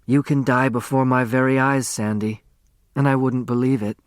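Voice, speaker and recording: Man reading as a brisk, reassuring woman lawyer. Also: mid-range voice, fairly even intonation, clear, resonant tone, no room sound, clean studio sound, close to an everyday voice. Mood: teasing, brisk. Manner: matter-of-fact, gently reassuring.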